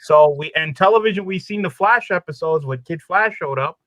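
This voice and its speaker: A man's voice: on the high side, expressive, a little fast, a bit bright, dry recording. A man hosting a casual live chat in an easygoing, loosely phrased conversational way, with muffled sound.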